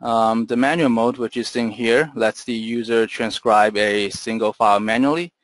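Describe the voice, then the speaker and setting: A man giving an explanatory software webinar presentation over slides, in a measured, businesslike manner as the product presenter. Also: medium pitch, steady tone, no echo.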